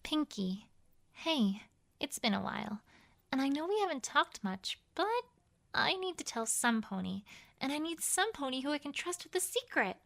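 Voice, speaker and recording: A woman's voice: sits high, sing-song, normal speed, gravelly, fairly bright tone, heavily acted. A woman voicing an earnest, bookish young female pony scholar in an emphatic, conversational letter reading for an audio drama. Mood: flustered, confiding.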